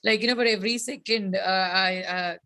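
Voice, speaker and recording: A woman's voice: mid-range voice, fairly even intonation, a little fast, pushed and bright, dry recording, clean studio sound. A woman giving casual, emphatic feedback and repeating words. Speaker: club participant giving feedback.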